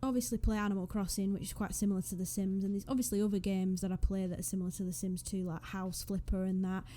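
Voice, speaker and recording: Mellow-voiced Northwest English young woman. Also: mid-range voice, little pitch movement, fast talker, slight background noise. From northwest England. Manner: rambling, self-deprecating, giggly asides. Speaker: Sims YouTuber. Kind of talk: casual voice-over chat over a speed build. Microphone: USB desk microphone.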